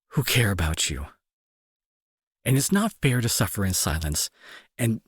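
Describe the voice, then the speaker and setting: Man acting a husky, emotional young bully. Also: mid-range voice, dry recording, clean studio sound, exaggerated voice. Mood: apologetic, earnest. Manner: intense, halting, pleading. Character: young male school bully, gruff but fearful and protective. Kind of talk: dramatic audio roleplay acting.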